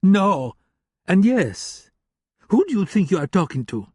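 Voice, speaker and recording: A man voicing an agitated German male hacker who rants with contempt, husky-voiced. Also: high voice, sing-song, unhurried, dark tone, no echo, studio quality, voice pushed up, heavily acted. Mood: amused.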